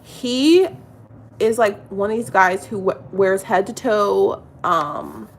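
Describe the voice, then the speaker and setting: A woman's voice: mid-range voice, expressive, slow, creaky, a little hiss or hum. A middle-aged woman chatting casually and confidingly in a get-ready-with-me vlog, full of fillers and restarts. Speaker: vlogger.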